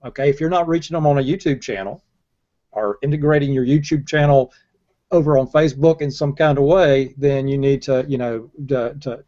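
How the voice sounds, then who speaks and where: An older man in a casual panel discussion, speaking haltingly and repeating his words as he goes. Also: medium pitch, a little mellow. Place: indoor room, video call. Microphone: earbud headset on a video call. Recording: dull lo-fi video-call audio.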